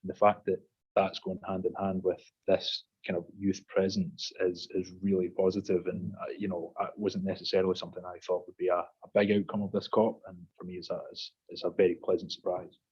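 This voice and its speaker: A man speaking as a panel guest in a measured, casual way with many fillers and repeats, over a video call. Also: low-pitched, level tone, darkish tone, slightly rough, muffled recording. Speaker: panel guest, industry consultant.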